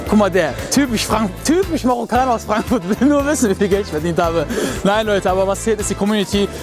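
A man's voice: high-pitched, over music. A young man in his twenties, a joking street interviewer firing off questions outdoors on a busy shopping street with a handheld mic. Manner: upbeat, joking, quick questions.

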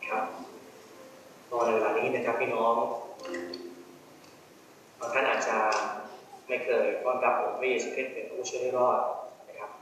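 A man's voice: medium pitch, little pitch movement, moderate pace, long pauses, fairly bright tone, a little hiss or hum. A man with a Thai accent preaching an earnest altar call, polite and pleading, in a large church hall. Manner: polite, earnest, many polite particles. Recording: very echoey hall from far off.

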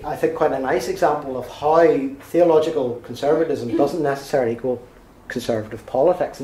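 A man giving an academic seminar lecture, pausing often on uh and um and slipping in dry asides. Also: medium pitch, a little mellow. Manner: hesitant, frequent uh and um, dry asides. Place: university seminar room. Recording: roomy seminar room echo.

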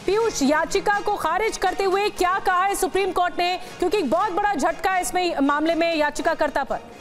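A woman's voice: very high voice, a little fast. A woman news anchor in a television studio, delivering a live breaking-news bulletin with urgent, emphatic repetition.

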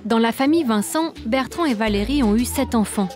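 A woman reading scripted voice-over narration for a documentary, in a calm, measured storytelling manner. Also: a little high. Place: studio voice-over. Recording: faint background music under studio voice-over.

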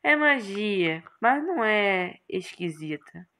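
A woman voicing a calm, literal-minded horned male magician in a game, speaking in a dry, measured way. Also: mid-range voice, exaggerated voice.

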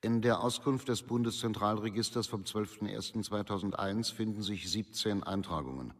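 Dry official, slightly low voice, formal record reading, impersonal, radio play. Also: male voice, normal speed.

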